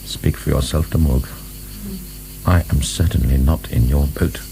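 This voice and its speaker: A man's voice: a bass voice, steady tone, moderate pace, creaky, noisy background. A man acting in a radio drama as a proud, defensive middle-aged prisoner of the French Revolution, emphatic and formal. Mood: defensive, stern.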